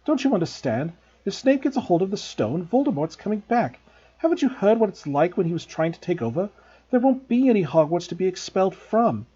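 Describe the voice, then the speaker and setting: A man's voice: high-pitched, very animated, brisk, mellow, breathy edge, muffled recording, voice pushed up, exaggerated voice. A man voicing a determined young schoolboy who speaks in an urgent, earnest way. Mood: defiant.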